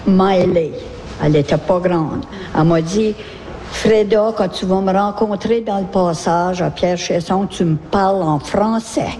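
Casting: woman, casual storyteller, dark soft-edged tone, reverberant room. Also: lowish voice, measured pace, a little hiss or hum. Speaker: community member. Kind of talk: casual anecdote. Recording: echoey hall.

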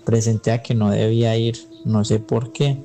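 A man's voice: fairly low pitch, level tone, moderate pace, dark tone. A man with a Colombian accent, calling a radio show and speaking in a casual, personal storytelling way. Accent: Colombian Spanish.

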